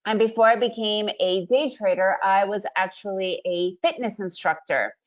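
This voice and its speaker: A woman's voice: medium pitch, steady tone, moderate pace, lo-fi sound. A woman raised in the US, a Russian speaker, giving an upbeat, chatty webinar presentation and often checking in with "right?". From Soviet Union, raised in the US. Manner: upbeat, chatty, leans on "right?" tag questions.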